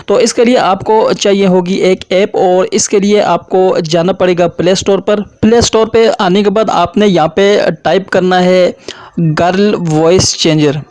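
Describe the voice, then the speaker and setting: A man's voice: high voice, a little mellow, no echo. A man hosts an app tutorial. He explains each step in turn, and the recording is dry and close, without room sound. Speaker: tutorial host.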